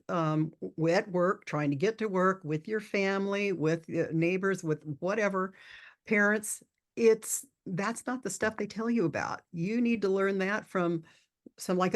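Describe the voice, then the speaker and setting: An older woman who worked as a nurse for decades, talking earnestly on a podcast with many restarts. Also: mid-range voice. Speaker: guest, retired nurse. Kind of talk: podcast interview.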